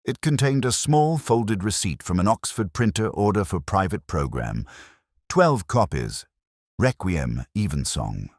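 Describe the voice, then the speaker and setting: A man voicing a brooding, world-weary older detective, narrating a mystery audio drama in a measured, literary, wry manner. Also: deep voice, sing-song, dry recording, clean studio sound, voice pushed up, full character voice. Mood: reflective. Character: brooding, world-weary male Oxford detective recalling an old case.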